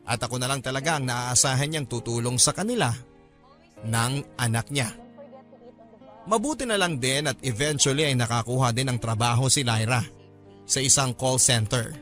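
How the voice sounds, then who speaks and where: A man's voice: medium pitch, moderate pace, a bit bright, faint music behind, natural voice. A man with a Filipino accent voicing an earnest, caring letter writer who narrates a radio drama in a respectful storytelling manner. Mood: earnest, reflective. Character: earnest, caring male friend recounting his story by letter. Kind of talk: scripted first-person radio drama narration.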